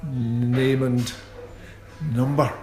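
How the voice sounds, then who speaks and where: A middle-aged man guesting in a radio interview, talking in an unhurried, self-deprecating and wry way. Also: mid-range voice, level tone, a little mellow, faint music behind. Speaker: guitarist guest. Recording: roomy echo.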